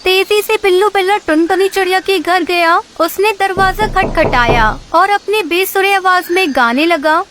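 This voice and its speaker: A woman's voice: very high-pitched, normal speed, hardly any pauses, background noise. A woman narrating a scripted story for children.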